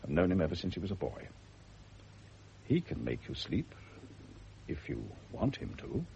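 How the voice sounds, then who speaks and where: Patient blunt doctor in old radio play, mellow, very expressive pitch, hissy recording. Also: male voice, creaky, muffled recording, full character voice. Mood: measured, frank. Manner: measured, deliberate, reasoning. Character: calm, frank male doctor, patient and unsparing. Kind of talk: 1970 radio drama acting.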